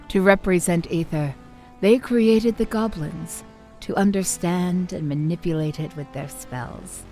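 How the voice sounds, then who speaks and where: Actress as cryptic fantasy sage woman, very slow, lowish voice, deliberate, formal, faint music underneath. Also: mellow. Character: measured, cryptic female lore-keeper challenging her pupils' histories. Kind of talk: fantasy audio drama acting.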